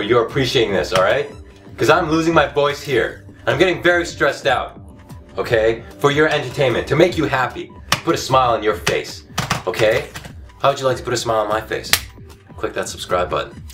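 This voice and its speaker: A hoarse man in his thirties gives brash, self-hyping game commentary, his pitch leaping wildly from grumbling lows to yelling highs. He sounds a little away from the mic in a room at home. Loud game music plays behind him. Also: recorded from a distance. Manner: cocky, self-hyping, swings from mutters to shouting outbursts. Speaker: comedy gaming host. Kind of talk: over-the-top comedic game commentary.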